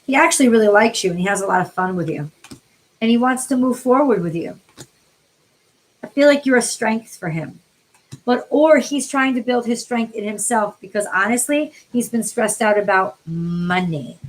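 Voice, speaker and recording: An American woman from the New York City area reads tarot on a live stream, chatting informally with viewers by name, her voice tiring and getting a little hoarse. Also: on the high side, animated, moderate pace, poor audio. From New York City area, USA. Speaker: tarot card reader, livestream host.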